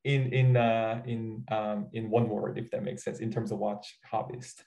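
A man with a Korean accent, a native Korean speaker, chatting casually and haltingly with many fillers and restarts. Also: lowish voice, level tone, vocal fry, dark tone. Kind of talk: casual conversation.

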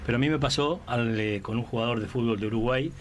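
A man who speaks earnestly about things that moved him, in a middle-pitched voice. Clear ambient noise sits underneath his speech. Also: fast.

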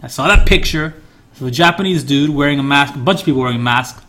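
A man's voice: fairly high pitch, fast talker, sharp tone. A man, chatting casually and rambling through stories, correcting his co-host's English. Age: 40s. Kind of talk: casual chat.

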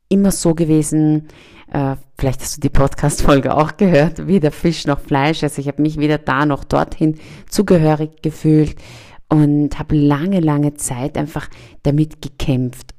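A woman's voice: sits low, vocal fry, mellow. A young woman, raised in Austria after being born in Iran, hosting a podcast and giving an earnest motivational talk aimed straight at the listener. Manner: earnest, speaks directly to the listener. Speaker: podcast host.

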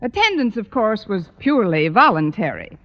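An American actress playing a wry, put-upon high school English teacher in a 1940s radio sitcom, with a dry, sardonic delivery. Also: female voice, very animated, normal speed. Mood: wry narration. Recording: dull old-time radio recording.

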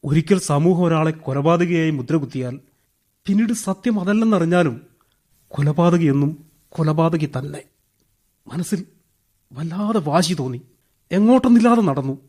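An actor playing a wronged, embittered young ex-prisoner and elder brother in a radio drama, speaking in a halting, emotional way. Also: male voice, on the high side, a little mellow, natural voice. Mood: bitter. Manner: emotional, halting, heavy-hearted. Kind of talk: radio drama acting, dramatic first-person narration.

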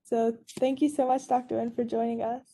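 A woman's voice: fairly high pitch, flat delivery, a little fast, dark tone. A woman host chattily introducing a guest speaker on a video call. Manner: chatty, filler-heavy. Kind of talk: online introduction.

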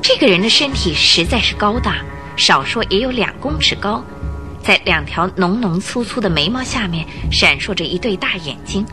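A woman narrating a radio drama in a dramatic, scripted storytelling style. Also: medium pitch.

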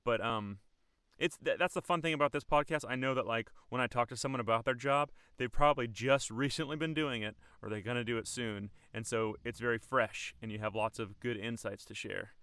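A man's voice: mid-range voice, fairly quick, fairly bright tone, clean tone, dry recording, clean studio sound. A man hosting a podcast, chatting casually and rambling with lots of "like" and "um". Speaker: podcast host. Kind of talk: casual chat.

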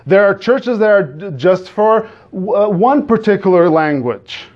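Guest preacher, man with a Portuguese accent, slightly raised pitch, dull lo-fi sound, stumbling earnestness. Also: animated. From Azores, Portugal. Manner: earnest, halting delivery with frequent restarts, asks the congregation questions. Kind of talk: sermon.

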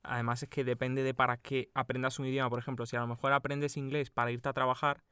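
A man's voice: mid-range voice, level tone, fast, bright, forceful tone, clear, resonant tone, no echo. A young man from Cuba who speaks with a Madrid Spanish accent, co-hosting a casual podcast chat and explaining at length with frequent laughs. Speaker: podcast co-host.

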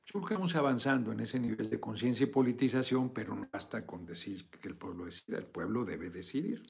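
A man's voice: on the low side, brisk, creaky, a little mellow, slightly rough. A middle-aged man hosting a casual political video chat, answering viewers in an emphatic, insistent way. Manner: emphatic, argumentative, repeats points insistently.

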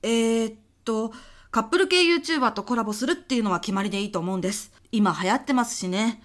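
A woman's voice: on the high side, quick pace, close to an everyday voice. A woman playing a polite, bemused corporate client in a comedic business radio drama, speaking courteously and tentatively.

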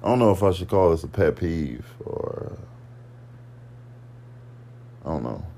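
A man's voice: deep voice, expressive, a little slow, vocal fry, mellow. A man co-hosting a podcast in casual chat, laid-back and terse, saying little and explaining less. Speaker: podcast co-host.

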